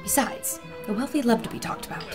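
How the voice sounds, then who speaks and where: A woman's voice: fairly high pitch, quick pace, a little mellow, faint music behind, a faint crowd, close to an everyday voice. An actress playing an observant woman reporter who describes her adventure in an audio drama, storytelling as she goes. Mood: intrigued.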